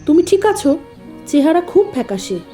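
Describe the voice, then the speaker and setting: A woman playing a caring, easily startled village wife in an expressive cartoon story style. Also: very high-pitched, normal speed, soft background music. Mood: worried. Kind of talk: dramatic cartoon story acting.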